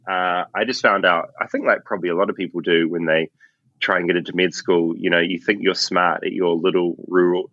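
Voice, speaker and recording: A man's voice: deep voice, fairly bright tone, dry recording. A man from New Zealand with a New Zealand accent, chatting enthusiastically and self-deprecatingly as an interview guest. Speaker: guest, film composer. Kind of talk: casual interview chat. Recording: dull, dry lo-fi audio.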